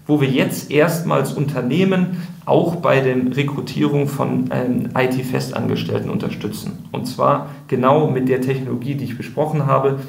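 A man's voice: mid-range voice, moderate pace, vocal fry. A man speaking as the guest in a podcast interview, explaining things thoughtfully and restarting sentences often. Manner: thoughtful, many fillers and restarts. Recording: roomy echo.

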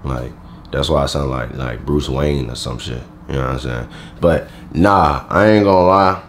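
Groggy young man, very low sleepy voice. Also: normal speed, a little hiss or hum. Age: young adult. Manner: slangy, rambling, lots of fillers. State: groggy, just woke up. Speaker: vlogger. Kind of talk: casual vlog talking to camera. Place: bedroom at home, indoors.

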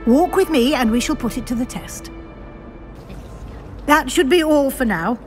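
A woman playing a brisk, formal older witch professor with a British accent, measured and articulate, kindly but firm. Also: high-pitched. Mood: brisk, encouraging. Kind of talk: scripted game dialogue. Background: background music.

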